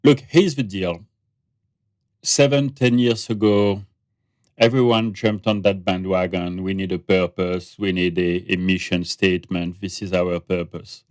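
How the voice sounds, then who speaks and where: A man from France with a French accent, a researcher and author speaking as a guest on an interview podcast. He talks in a measured, reflective way. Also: low-pitched, fairly even intonation, no echo, clean studio sound.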